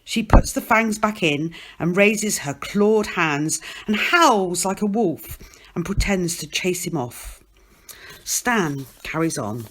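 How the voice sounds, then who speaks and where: A woman reading a children's novel aloud as scripted narration, in an expressive storytelling manner. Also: lowish voice, takes it slowly, a little hiss or hum. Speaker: storybook reader.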